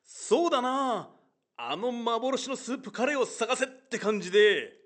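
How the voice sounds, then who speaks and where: A man's voice: very high voice, expressive, unhurried, fairly bright tone, exaggerated voice. An actor playing an enthusiastic, emphatic male colleague in an amateur radio drama. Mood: keen. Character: enthusiastic male colleague proposing a feature story.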